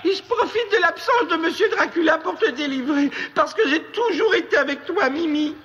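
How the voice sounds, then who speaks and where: A man's voice: very high voice, lively intonation, moderate pace, fairly bright tone. A man voicing a sly, cowardly little turncoat creature in a French cartoon, whining and wheedling with excuses. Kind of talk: French cartoon dub acting. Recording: dull audio under clear music.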